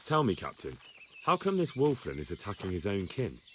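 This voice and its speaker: Earnest, lively-voiced young squire. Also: male voice, on the low side, fairly quick, a little hiss or hum. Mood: curious. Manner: respectful, inquisitive. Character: earnest young male squire, dutiful, curious. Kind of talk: video game voice acting.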